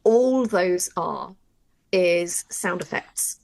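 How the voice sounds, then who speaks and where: A woman's voice: fairly low pitch, very slow, gravelly, a little mellow, dry recording. A woman co-presenting a workshop, explaining things in a casual, chatty way and thinking aloud with asides. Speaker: workshop co-presenter and audio drama writer.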